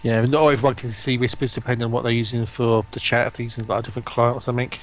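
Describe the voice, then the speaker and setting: Man chatting casually, medium pitch. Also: flat delivery, rapid-fire, a little hiss or hum. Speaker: guest streamer. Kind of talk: casual livestream chat.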